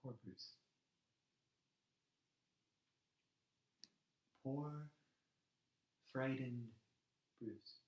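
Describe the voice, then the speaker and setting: A man performs an impassioned male activist in a dramatic monologue. His mid-pitched voice carries grief and defiance in short, emphatic phrases. The room around him is noticeably echoey. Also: lively intonation, a bit bright. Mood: quiet, mournful. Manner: emphatic, halting delivery in short weighted phrases.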